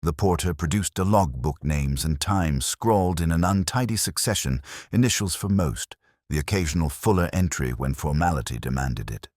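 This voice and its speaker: A man narrating a scripted first-person detective story in a measured, literary, brooding manner. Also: rumbling low, lively intonation, a little mellow, dry recording, clean studio sound.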